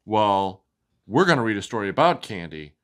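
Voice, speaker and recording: A woman hosting a children's read-aloud, sing-song and expressive, who asks the child questions. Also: rumbling low, moderate pace. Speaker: host reading storybooks to a child.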